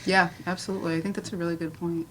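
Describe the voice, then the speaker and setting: A woman's voice: fairly low pitch, flat delivery, very fast, a bit bright. A woman moderating an unscripted panel discussion, affirming and agreeing often as she responds. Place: public panel event, live audience. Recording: faint background room noise.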